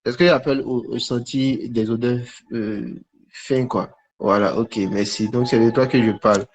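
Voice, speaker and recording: A man preaching in a conversational, charismatic style to online viewers, repeating short phrases for emphasis with pauses between them. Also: medium pitch, fairly even intonation. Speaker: preacher hosting a live online prophetic session. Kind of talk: charismatic sermon and prophetic teaching, conversational, addressing viewers. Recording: dull, lo-fi livestream audio.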